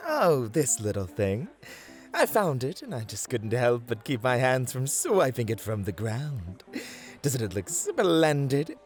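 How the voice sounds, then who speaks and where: A man's voice: mid-range voice, sing-song, a little slow, faint music behind. A man voicing a flirty, playful, vain satyr in a fantasy roleplay, with a theatrical, teasing, coaxing delivery. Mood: teasing, smug. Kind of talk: fantasy roleplay ASMR acting.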